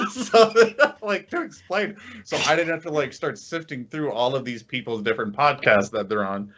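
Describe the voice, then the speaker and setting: A man's voice: medium pitch, normal speed, lo-fi sound, a little hiss or hum. A man co-hosting a podcast in casual, enthusiastic chat, stammering and repeating words as he goes. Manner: excitable, stammering with frequent repeats. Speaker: podcast co-host.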